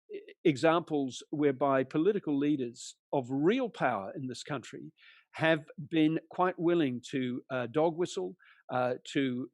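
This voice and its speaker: A man who grew up in New Zealand moderates a panel over a video call. He talks in a relaxed, conversational way and sums up audience questions. The sound is close and dry. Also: fairly high pitch, no echo. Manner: conversational, summarizing.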